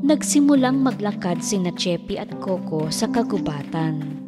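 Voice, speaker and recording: A woman's voice: medium pitch, sing-song, normal speed, over music. A woman narrating a scripted fairy tale for children.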